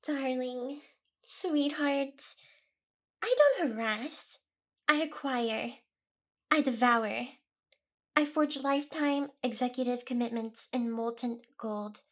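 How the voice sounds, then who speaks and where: A woman's voice: high voice, slow pace, heavily acted. A woman playing a smug, seductive, possessive demon boss in an ASMR roleplay, with a teasing, theatrical delivery.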